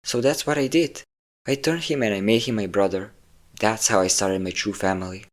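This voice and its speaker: Man acting a deep-voiced possessive vampire lover. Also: steady tone, moderate pace, natural voice. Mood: smug, possessive. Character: possessive, obsessive male vampire lover, vain and cruel. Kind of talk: intimate dramatic roleplay audio monologue.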